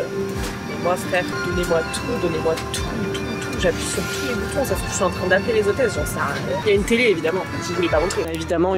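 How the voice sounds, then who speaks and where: Woman vlogger in a plane seat, gushing and slangy, loud music underneath. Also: medium pitch, rough recording. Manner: excitable, exclamatory, slangy. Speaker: travel vlogger. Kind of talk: excited casual vlog narration. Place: airplane cabin. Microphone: phone camera.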